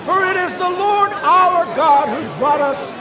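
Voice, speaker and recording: A man's voice: very high-pitched, a little slow, music in the background. A man among actors playing a crowd of Israelite people fervently pledging loyalty in a scripture drama. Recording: distant, echoey, noisy crowd recording.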